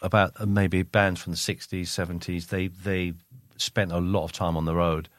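A man's voice: sits low, level tone, moderate pace, no room sound, studio quality. A man co-hosting a podcast, discussing music in a casual, conversational style. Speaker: podcast co-host.

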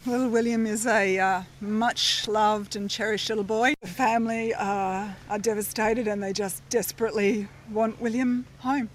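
A woman speaks as a family spokesperson in a news clip with slight background noise. Her pitch stays very flat as she makes a halting, pleading appeal to the public. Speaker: family friend acting as spokesperson.

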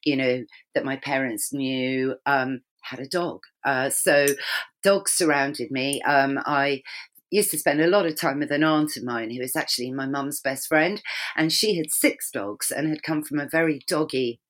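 A woman's voice: very deep voice, measured pace. A woman who grew up bilingual in Swedish and English, chatting as an interview guest with frequent ums. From England. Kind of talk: conversational interview.

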